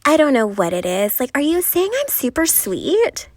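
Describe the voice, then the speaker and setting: Woman acting a lively, lovelorn cartoon passenger, dry sound. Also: high-pitched, moderate pace, no echo. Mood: questioning. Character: lovelorn, frustrated female passenger venting about romance. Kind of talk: animated series dialogue.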